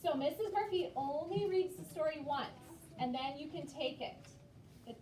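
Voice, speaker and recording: Female elementary teacher, high voice, patient classroom delivery, distant mic with roomy echo. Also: moderate pace, mellow. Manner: simple, patient explaining with frequent check-in questions. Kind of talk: classroom instruction to young children. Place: elementary school classroom, indoors. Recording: echoey noisy classroom, far from mic.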